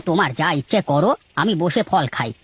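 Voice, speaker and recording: A woman's voice: low-pitched, expressive, a little fast, background noise. A woman voicing a scheming, grumbling male fruit trader in a cartoon, wheedling and defensive. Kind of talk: cartoon dialogue acting.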